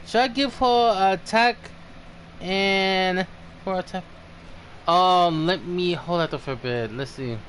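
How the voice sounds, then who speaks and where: High-voiced man streaming, faint background noise. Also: a bit bright. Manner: halting, thinking aloud. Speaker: game livestream host. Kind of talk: casual livestream chat.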